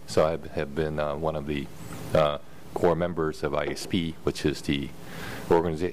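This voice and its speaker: A man with an American accent, a professor speaking on a conference panel in a halting way, with many fillers and self-corrections and a dry humor. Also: rumbling low, slight background noise. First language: Japanese. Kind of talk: panel discussion introduction. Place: conference hall with audience. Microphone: handheld or table mic.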